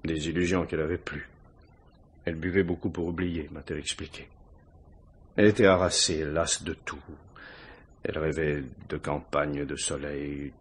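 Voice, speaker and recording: An anxious married man under questioning in an old-fashioned French radio mystery. His voice is deep and heavily husky. He explains himself haltingly, forever justifying what he did. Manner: hesitant, self-justifying, halting. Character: anxious married man confessing to a police inspector. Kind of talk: 1950s French radio crime drama acting.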